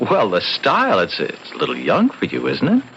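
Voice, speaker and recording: Worked up young company husband, earnest, voice all over the place, muffled old radio play. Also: male voice, mid-range voice, wildly swooping pitch, moderate pace, a bit bright. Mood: placating. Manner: earnest, exasperated, hesitant. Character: ambitious young corporate husband, exasperated and placating. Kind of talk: 1970s radio drama acting. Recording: muffled, noisy 1970s AM radio recording.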